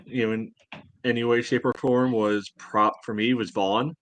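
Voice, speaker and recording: A man co-hosting a casual sports podcast, giving his take in a dry, deadpan way. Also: mid-range voice, moderate pace. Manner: dry, dull deadpan delivery. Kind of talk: casual panel commentary.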